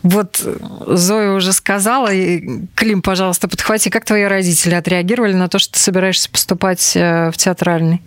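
A woman's voice: on the low side, level tone, fairly quick. A woman hosting a conversational interview, putting questions to her guests. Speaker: host interviewing young actors.

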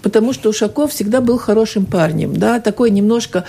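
Female panel guest, mellow tone, chatty and emphatic. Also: mid-range voice, fairly quick, vocal fry, slightly rough. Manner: animated, conversational, keeps adding "right?". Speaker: studio discussion guest. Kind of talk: radio panel discussion.

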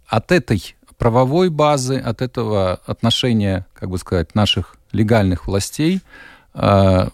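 A man from Germany, a producer guest on a talk show, speaking in a measured, formal way with frequent hesitations. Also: on the low side, vocal fry, darkish tone, no room sound, clean studio sound. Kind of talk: radio talk show discussion.

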